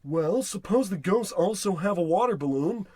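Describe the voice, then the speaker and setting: A man's voice: sits high, lively intonation, moderate pace, dark tone, no room sound. An actor playing a brash, dim-witted, bossy salesman in a parody of old radio drama, blustering through muddled tough talk. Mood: earnest, bossy.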